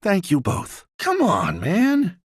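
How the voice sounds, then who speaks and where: An actress playing a friendly, apologetic street vendor in a video game. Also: female voice, medium pitch, huge pitch swings, slow pace, breathy edge, dry recording, studio quality, exaggerated voice. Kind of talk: video game character dialogue.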